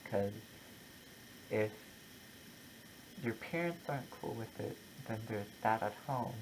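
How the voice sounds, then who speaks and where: A content creator talking casually and personally, in a halting, reflective way with short broken phrases. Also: medium pitch, animated, slight background noise, low-quality recording. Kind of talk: casual personal vlog, opinion talk to camera.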